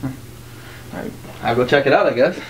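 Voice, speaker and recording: A man's voice: medium pitch, a little fast, a little hiss or hum, close to an everyday voice, poor audio. A man in his thirties acting a sarcastic, exasperated, slangy guy in an amateur short film.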